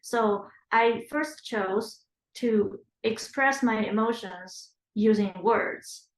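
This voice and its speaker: A woman's voice: fairly high pitch, takes it slowly, roomy sound, rough recording. A woman in her thirties from China, whose first language is Chinese, thinking aloud in an oral history interview with fillers and restarts. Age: 30s.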